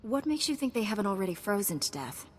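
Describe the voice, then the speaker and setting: Casting: actress as self-assured warrior knight for a sci-fi game, calm, blunt, mid-pitched, ringing hall acoustic. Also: female voice, quick pace, natural voice. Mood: composed, blunt. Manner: calm, matter-of-fact, assured. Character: composed, blunt female Jedi knight. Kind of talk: scripted video game cutscene acting. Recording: long reverberant hall, faint background rumble.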